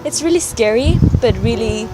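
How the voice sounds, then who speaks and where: A woman's voice: fairly high pitch, expressive, normal speed, heavy background noise. A young woman from Afghanistan answering interview questions in an earnest, casual way, often saying "like" and restarting her sentences. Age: late teens. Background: background music.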